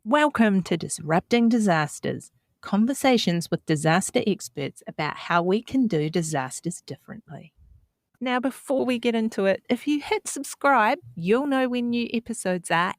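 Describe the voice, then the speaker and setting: A woman's voice: medium pitch, lively intonation, normal speed, no echo, studio quality. A middle-aged woman with a New Zealand accent, hosting a podcast interview in a friendly, chatty, informal way. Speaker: podcast host.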